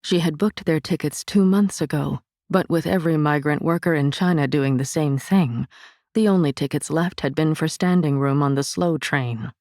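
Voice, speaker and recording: A woman narrating a literary novel in a measured, vivid storytelling manner on a studio mic. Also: deep voice, darkish tone, dry recording. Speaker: audiobook narrator.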